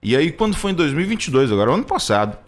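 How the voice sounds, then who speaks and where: A Brazilian man in his thirties giving personal testimony in conversational talk, reflective and halting, often repeating words as he goes. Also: on the high side, big pitch swings. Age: 30s. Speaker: radio show co-host.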